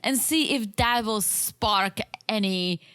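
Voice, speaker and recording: A woman co-hosting a podcast about a reality show, chatting casually and acting out quotes in an animated way. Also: medium pitch, takes it slowly, smooth, dry recording. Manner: animated, acts out quotes from the show, frequent restarts. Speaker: podcast co-host.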